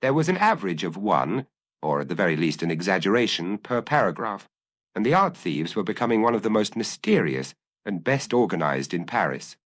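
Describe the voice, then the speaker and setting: A man's voice: low-pitched, very animated, brisk, fairly bright tone, no room sound, clean studio sound. A man narrating a detective story as a scripted audiobook reading.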